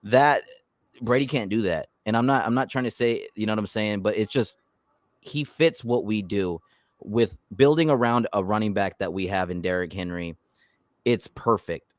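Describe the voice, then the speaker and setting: A man hosting a casual live stream about his football team, talking in animated bursts and often repeating words as he restarts. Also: lowish voice, normal speed, no room sound, clean studio sound. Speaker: YouTube channel host.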